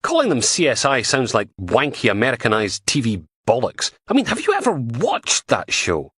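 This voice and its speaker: Crude, scornful female police dog handler, raised high and loud, voiced by a man. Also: wildly swooping pitch, normal speed, husky, dry recording, exaggerated voice. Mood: blunt, scoffing.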